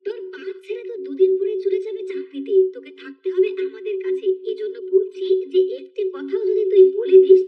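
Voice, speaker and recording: Woman acting a shrill, very high-pitched bullying daughter-in-law. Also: a little fast, few pauses, far from the mic. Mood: threatening. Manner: scolding, bossy, menacing. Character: cruel, bullying young daughters-in-law threatening an old mother-in-law. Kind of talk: children's moral-story cartoon dialogue. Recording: muffled, narrow phone-like sound.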